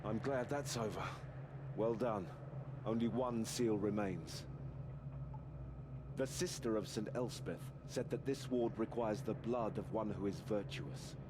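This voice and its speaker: A man's voice: fairly low pitch, unhurried, background noise, natural voice. A man voicing an earnest, noble knight in a video game, speaking in a solemn and formal manner. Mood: solemn resolve. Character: earnest, noble male knight on a holy quest.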